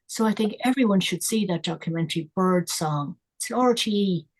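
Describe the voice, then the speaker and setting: An older Irish woman, a guest environmentalist, talking in a casual, thoughtful way with many pauses and restarts. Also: medium pitch. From Ireland. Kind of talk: casual conversational interview.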